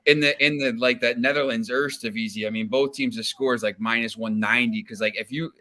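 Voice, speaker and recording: A man's voice: mid-range voice, fairly even intonation, quick pace, pushed and bright. A man from New Jersey, a guest on a sports betting podcast, talking casually and rambling with many restarts and fillers. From New Jersey, USA.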